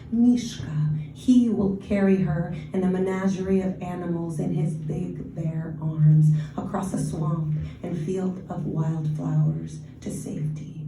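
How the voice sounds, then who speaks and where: A woman poet, from Ukraine and raised in the Washington DC area, with Russian as her first language, giving a measured, deliberate poetry reading with brief casual asides. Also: on the low side, mellow. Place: reading venue, roomy space. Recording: roomy live recording from a distance.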